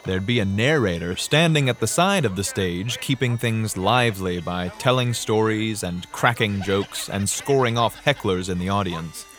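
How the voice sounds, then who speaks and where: Male actor, lowish register, for a measured dramatic book reading with light musical underscore. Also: fairly bright tone, soft background music. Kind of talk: dramatic audiobook-style reading.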